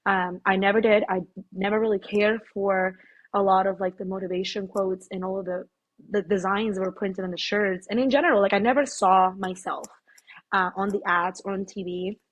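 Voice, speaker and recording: A woman's voice: mid-range voice, fairly even intonation, normal speed. A woman from Mexico, a guest on a podcast, talking casually and emphatically about her life, with frequent fillers. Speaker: podcast guest, fitness apparel founder. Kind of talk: casual interview talk.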